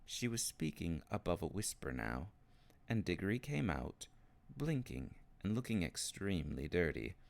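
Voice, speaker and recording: A man reading children's fiction aloud as an expressive scripted narration. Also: low voice, normal speed, gravelly. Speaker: storybook reader.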